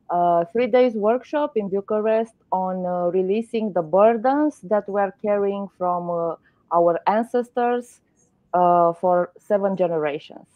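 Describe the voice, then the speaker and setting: A woman's voice: medium pitch, no echo. A woman hosting a live online interview, hesitant with many fillers. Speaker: host of a live stream. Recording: dry, muffled lo-fi sound.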